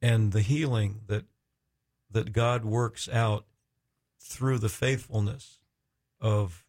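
Low-voiced, effusive male radio host. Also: steady tone, a little mellow. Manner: effusive, halting and searching for words.

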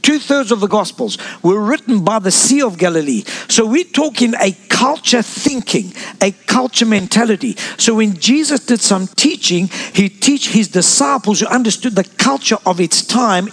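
A man's voice: sits high, animated, moderate pace, a bit bright. A man born in South Africa preaching a sermon as pastor, sprinkling amens and asides to the congregation.

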